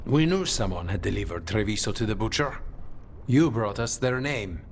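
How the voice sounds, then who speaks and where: An actor playing a stern, vengeful member of a city crime guild in a video game, speaking in clipped, grave statements. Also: male voice, lowish voice, animated, normal speed, slight background noise. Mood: bitter, grave. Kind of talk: video game cutscene acting. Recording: music behind the voice.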